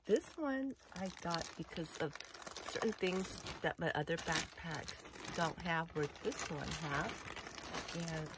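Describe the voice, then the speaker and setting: A woman's voice: on the low side, a little slow, background noise. A middle-aged woman casually showing off a backpack, talking in a rambling, chatty way full of "you know" and restarts, recorded indoors close to the camera. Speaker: vlogger reviewing a backpack. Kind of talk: casual unboxing show-and-tell. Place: indoor room full of collectibles.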